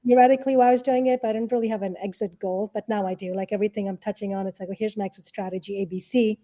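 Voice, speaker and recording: Mellow-voiced woman chatting as interview guest. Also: mid-range voice, steady tone, very fast. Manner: conversational, illustrates points with imagined quotes. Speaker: interview guest, tech product leader and investor. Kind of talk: casual interview answers.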